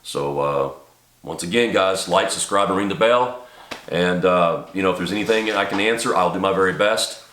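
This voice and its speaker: A man with a very low voice talks to camera, a little stuffed up from a cold. He rambles earnestly, with lots of ums and restarts. The room around him is echoey. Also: moderate pace, a bit bright, slight background noise. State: congested from a cold. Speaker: channel host and small business owner. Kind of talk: casual talk to camera. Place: home office.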